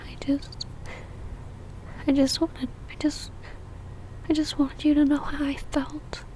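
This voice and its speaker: A woman playing a possessive, lovesick female vampire in an ASMR roleplay, whispering breathily in a halting, pleading and tender way. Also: sits high, little pitch movement, dark tone, rough recording. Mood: tearful, apologetic.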